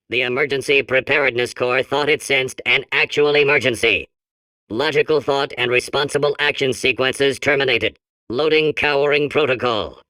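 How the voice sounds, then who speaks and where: A man's voice: medium pitch, bright, forceful tone, clean tone, no room sound, close to an everyday voice, rough recording. A man voicing an officious but cowardly emergency robot in a comedy game, announcing formally and anxiously. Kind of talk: comedic video game character acting.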